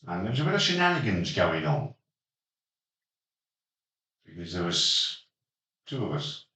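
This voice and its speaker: A man's voice: deep voice, big pitch swings, unhurried, bright, forceful tone. A man hosting a casual podcast chat, recapping a game session with hesitant ums and dry jokes. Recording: roomy echo, distant mic.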